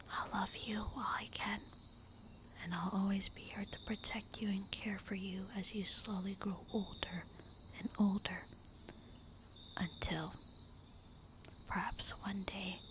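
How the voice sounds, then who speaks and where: A woman voicing a tender, melancholy immortal vampire wife in a breathy, intimate whispered ASMR roleplay. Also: mid-range voice, level tone, noisy background, natural voice. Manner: slow, intimate, wistful murmuring.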